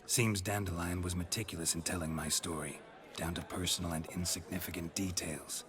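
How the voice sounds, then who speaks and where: An actor playing a gruff, laconic monster hunter with a dry, sardonic manner in a dramatic video game. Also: male voice, low voice, fairly even intonation, brisk, a crowd in the background, lightly acted.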